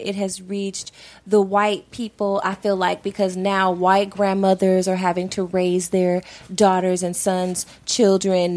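A woman's voice: medium pitch, a little mellow. An American woman co-hosting a talk show, giving opinions in casual conversation with emphatic repetition.

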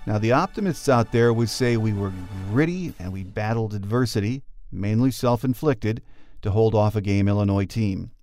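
A man's voice: fairly low pitch, moderate pace, darkish tone, soft background music. An American man hosting a sports podcast, giving prepared commentary and introducing his interview.

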